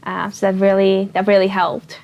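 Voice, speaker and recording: A woman's voice: mid-range voice, completely flat delivery, normal speed, creaky, dull audio. A woman, chatting casually as a panel guest. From Bulgaria. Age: 20s. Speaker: panel guest, recent graduate.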